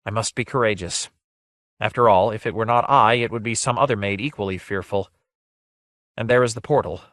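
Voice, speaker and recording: A man voicing a gentle, frightened young maiden in a fantasy story, soft and trembling, with formal archaic phrasing. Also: lowish voice, fairly quick, no room sound, studio quality, close to an everyday voice. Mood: fearful, steeling herself.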